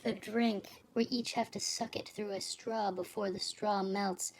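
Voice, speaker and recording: A woman's voice: medium pitch, steady tone, a little slow, creaky, poor audio. An actress playing a sultry, teasing lounge singer and probing confidante in a noir audio drama, arch and playful in her delivery. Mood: playful, coaxing.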